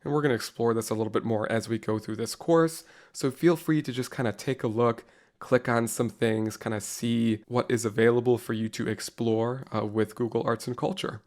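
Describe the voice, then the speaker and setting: This is a man fighting a cold, and his voice has gone a little raspy. He gives a relaxed, friendly screen-recorded walkthrough for teachers, using plenty of easy fillers. His pitch sits in a middle range. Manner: casual, friendly walkthrough with frequent "go ahead" and fillers. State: under the weather, slightly raspy voice. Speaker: online course instructor. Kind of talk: screen-recorded software tutorial.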